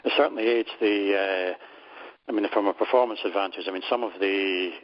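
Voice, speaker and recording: Low-voiced European man on a muffled call. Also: steady tone, a little fast, no echo. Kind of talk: conference call discussion. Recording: dull, dry lo-fi call line.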